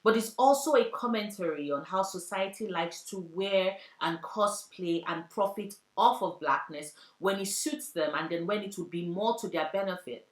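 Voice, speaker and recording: A woman's voice: mid-range voice, normal speed, hardly any pauses, roomy sound, rough recording. A young adult woman talking earnestly about books she loves, often repeating phrases for emphasis. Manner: earnest, heartfelt, with poetic repetition. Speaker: book vlogger. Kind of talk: book recommendation vlog.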